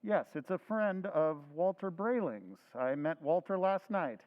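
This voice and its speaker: A man's voice: high voice, animated, a little slow. A man playing a weary, evasive husband who answers tersely and impatiently in an old-time radio drama recreation. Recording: tinny phone-like sound.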